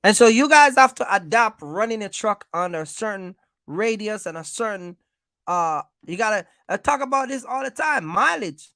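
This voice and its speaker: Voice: mid-range voice, animated, moderate pace, a bit bright, dry recording, clean studio sound. An American livestream host giving informal business advice, speaking emphatically, repeating points and checking in with listeners.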